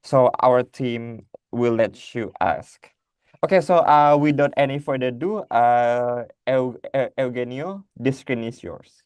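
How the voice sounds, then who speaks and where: A man from Indonesia moderating an online conference over a video call, talking haltingly with many fillers and restarts. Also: mid-range voice, a little mellow, smooth, no echo.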